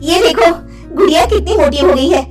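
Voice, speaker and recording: A woman's voice: very high voice, expressive, moderate pace, recorded from a distance, soft background music. A woman playing an earnest village housewife in a cartoon moral story, with dramatic, exaggerated delivery. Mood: firm.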